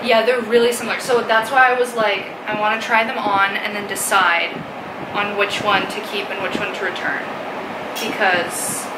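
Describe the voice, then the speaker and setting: A woman in her twenties, a native Polish speaker, chatting casually to a handheld camera in a self-mocking vlog style, voice a little hoarse. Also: medium pitch, level tone, moderate pace, heavy background noise. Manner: chatty, self-mocking, sprinkled with likes and ums. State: slightly hoarse. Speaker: vlogger. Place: apartment living room, car and store. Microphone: handheld camera close up.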